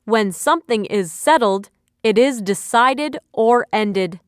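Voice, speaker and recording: A woman's voice: a little high, lively intonation, slow pace, clean tone, no echo, studio quality. A woman reading a scripted vocabulary quiz for learners in a carefully phrased, instructional way. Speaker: vocabulary teacher.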